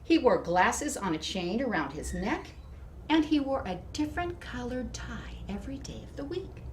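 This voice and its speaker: A woman reading a storybook to children in expressive narration, with deliberate, emphatic phrasing. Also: fairly high pitch. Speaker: storybook reader. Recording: distant mic with clear background noise.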